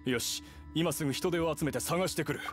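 A man voicing a brisk, decisive villager who leads an investigation in a video game. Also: fairly high pitch, natural voice. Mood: businesslike. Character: brisk adult male villager leading an investigation. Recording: faint music under the voice.

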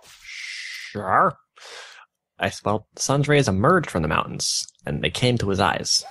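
Low, gravelly young man reading aloud. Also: big pitch swings, takes it slowly, fairly bright tone. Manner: stumbling over garbled text, often breaking off bemused. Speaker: fan fiction reader on a gaming video. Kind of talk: reading a story aloud with amused asides.

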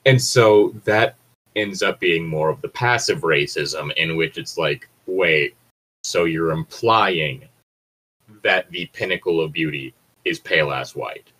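A man's voice: low voice, measured pace, bright, forceful tone, slightly rough, rough recording. A man chatting casually and bluntly, swearing freely and arguing his points. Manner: profane, argumentative. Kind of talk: casual opinionated chat.

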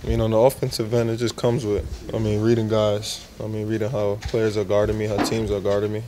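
A young man, a basketball player, giving brief, measured post-game answers in a locker room. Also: fairly low pitch, completely flat delivery, dark tone, slight background noise. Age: young adult.

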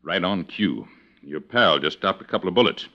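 An actor playing a middle-aged, weary police inspector, clipped and insistent, in a 1950s radio drama. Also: male voice, sits low, animated, moderate pace, pushed and bright, a little hiss or hum, exaggerated voice. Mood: dry, brisk. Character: weary, probing male New Orleans police inspector, thirty years' service. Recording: muffled old radio broadcast recording.

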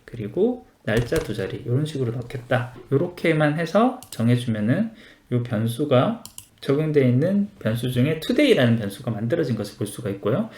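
A man narrating a step-by-step software tutorial in a polite, explanatory manner. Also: mid-range voice, echoey room. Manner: explanatory, polite formal endings.

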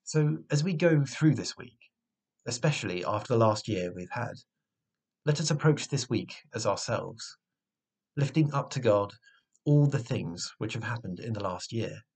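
A man in his twenties with a slightly husky voice, giving a measured, earnest sermon, recorded indoors at home on a device mic. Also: mid-range voice, lively intonation, a little mellow. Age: 20s. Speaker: preacher. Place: small room at home, indoors. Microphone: webcam or device mic at arm's length.

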